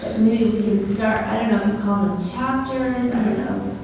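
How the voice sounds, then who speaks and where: A woman's voice: fairly high pitch, steady tone, moderate pace. Distant, echoey middle-aged woman asking a question. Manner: rambling, hesitant, soft-spoken. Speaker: audience member asking a question. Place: conference hall, off-mic. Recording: distant, very echoey hall with noise.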